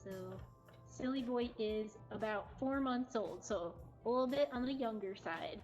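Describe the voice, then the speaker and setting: A woman's voice: a little high, normal speed, a little mellow, faint music behind. A woman hosting a live showcase, chatting casually to viewers. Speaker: cat cafe livestream host.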